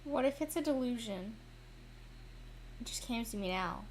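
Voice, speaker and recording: Casual, slightly high-voiced woman streaming a game. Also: animated, normal speed, slight background noise. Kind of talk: casual gameplay commentary.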